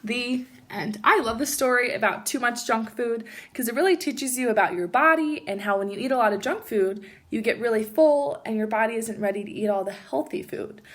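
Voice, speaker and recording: A woman teacher reading a children's storybook aloud in an animated, emphatic way and speaking directly to young listeners. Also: a little high, fairly quick, hardly any pauses. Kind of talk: expressive children's storybook read-aloud with asides.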